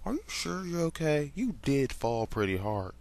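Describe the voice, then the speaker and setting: Fretful, caring cartoon mother type, slightly high, male performer, fan fiction read-aloud. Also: very animated, moderate pace, gravelly, darkish tone. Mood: worried. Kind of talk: amateur fan fiction character reading.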